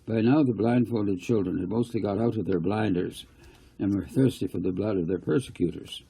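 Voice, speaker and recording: A man reading his own novel aloud as scripted audiobook narration, an unhurried storyteller who stumbles now and then. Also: lowish voice, creaky, dark tone, slightly rough, low-quality recording.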